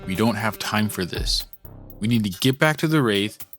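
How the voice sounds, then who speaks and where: An actor playing a weary, exasperated man in a sci-fi noir audio drama, speaking gravely and urgently. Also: on the low side, lively intonation, normal speed, fairly bright tone, faint music behind.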